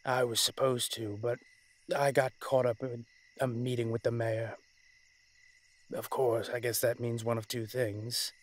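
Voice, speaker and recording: A man playing a commanding, weary dragon-shifter mafia boss in a dramatic roleplay audio, speaking in a measured, authoritative way. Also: mid-range voice, flat delivery, close to an everyday voice. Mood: grave, commanding.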